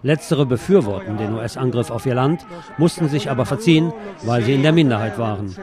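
A man's voice: mid-range voice, expressive, fairly quick. A man giving radio reportage and commentary, recorded outdoors at a street protest. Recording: outdoor recording with crowd behind. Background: crowd and city street sounds.

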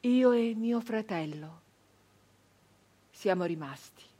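An actress playing a grieving, traumatized 19th-century peasant woman in a theatrical radio monologue, halting and intense, with breathy speech that can sink toward a whisper. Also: medium pitch, animated, warm tone. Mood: mournful, subdued. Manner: halting, intense, repeating phrases obsessively.

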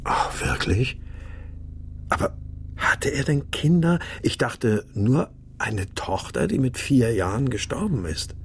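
An actor playing a troubled husband searching for his missing family, narrating and speaking in a measured, brooding way in a radio crime drama. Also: medium pitch, sing-song, a little hiss or hum. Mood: probing. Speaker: lead actor and first-person narrator.

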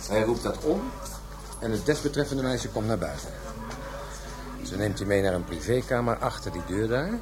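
A man's voice: lowish voice, lively intonation, moderate pace, background noise, close to an everyday voice. An actor playing a world-weary, knowing Dutch police detective in a radio drama, a man with a matter-of-fact, grim way of explaining things.

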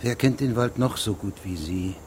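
An actor playing a formal, persistent investigating judge who questions witnesses precisely in a German radio drama. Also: male voice, fairly low pitch, normal speed, darkish tone, slight background noise, close to an everyday voice. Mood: formal, methodical.

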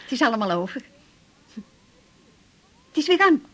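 A woman's voice: high voice, sing-song, moderate pace. An actress playing a chatty, lovestruck but resigned woman patient, confiding and hesitant, in a naturalistic radio drama. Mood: chatty, relieved.

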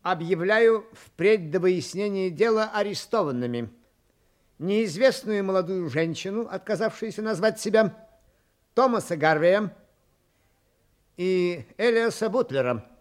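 Lively, officious police commissioner. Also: male voice, high-pitched, measured pace. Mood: official. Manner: formal, interrogating, muttering thoughtful hums. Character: officious, probing police commissioner questioning suspects. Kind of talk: radio play acting.

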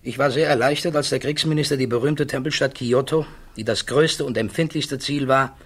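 A man playing a thoughtful, troubled physicist testifying at a hearing in a 1960s German radio play, answering in measured, precise, guarded words. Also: medium pitch, slightly rough, natural voice.

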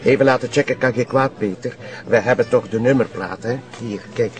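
An actor playing a practical, level-headed Flemish police detective in a naturalistic audio drama. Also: male voice, mid-range voice, brisk, natural voice. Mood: businesslike.

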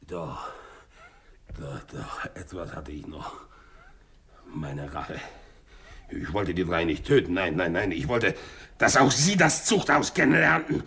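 An actor playing a bitter, vengeful French sailor and former convict in a 1960s German radio crime drama, telling his story haltingly and with feeling. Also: low-pitched, expressive, creaky, sharp tone, slight background noise, exaggerated voice. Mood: grim, vengeful. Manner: halting, stammering, emotional storytelling.

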